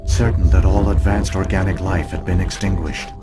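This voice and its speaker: An actor playing an ancient alien archive program that speaks in a measured, grave, formal way. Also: male voice, low voice, flat delivery, gravelly. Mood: solemn. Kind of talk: video game voice acting. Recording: music playing behind.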